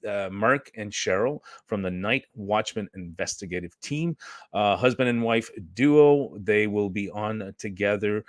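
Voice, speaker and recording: A hoarse man with a lowish voice hosts a live stream, chatting casually to viewers. He rambles and clears his throat often. The sound is dry and close. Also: a little slow, no room sound. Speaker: host.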